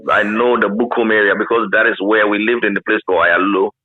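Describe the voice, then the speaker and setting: Phone-like sound, man, Ghanaian accent, fifties, low voice, casual reminiscing, repeats phrases. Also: little pitch movement, fast talker, dry recording. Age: 50s. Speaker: interview guest, former radio pioneer. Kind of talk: casual reminiscing interview answers. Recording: narrow phone-like line.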